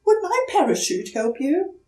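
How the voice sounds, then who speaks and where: A woman's voice: very high-pitched, huge pitch swings, a little slow, warm tone, voice pushed up, full character voice. A woman voicing a small, nervous, chirpy bird in a children's storybook. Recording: roomy echo, distant mic.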